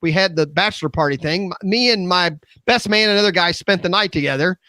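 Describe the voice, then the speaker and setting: An American man in his fifties chatting casually at a round-table talk show, with an animated, opinionated manner. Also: high-pitched, brisk, clean tone, dry recording. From Midwest United States. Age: 50s.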